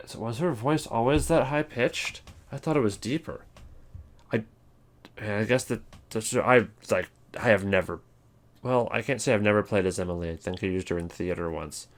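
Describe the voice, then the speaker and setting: A man giving casual, opinionated video commentary on game voice lines, thinking aloud in halting, rambling sentences. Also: fairly low pitch. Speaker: video host.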